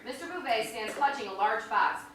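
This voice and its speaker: A woman's voice: on the high side, expressive, a little fast, fairly bright tone. A woman reading stage directions as dramatic scripted narration. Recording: echoey hall from far off, faint noise.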